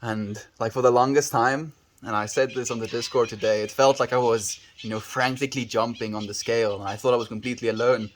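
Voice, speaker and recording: A man with a South African accent chats live with his viewers in a relaxed, rambling way. He calls people dude and bro and often trails off and restarts his thoughts. His pitch sits right in the everyday middle for a man. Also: fast talker, a little hiss or hum. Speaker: livestreamer. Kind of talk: casual livestream chat answering viewers.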